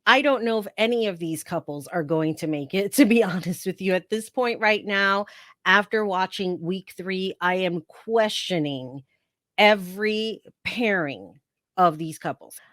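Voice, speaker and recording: A woman's voice: mid-range voice, a little slow, a bit bright, no room sound, clean studio sound. A woman with a heavy New York accent hosting her own reality TV commentary channel, sarcastic and playful, laughing often as she talks. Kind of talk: casual chatty commentary.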